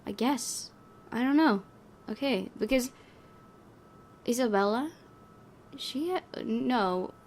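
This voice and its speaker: A young woman with a slightly raspy voice, a game streamer who reads a horror visual novel aloud in a hushed, dramatic way and breaks into hesitant asides. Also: on the high side, lively intonation, slow pace, slight background noise. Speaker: let's play host. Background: loud game music.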